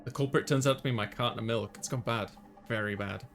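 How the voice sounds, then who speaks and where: A man's voice: medium pitch, fast, fairly bright tone, soft background music. A man hosting a gaming video, reading visual novel text aloud and adding casual asides.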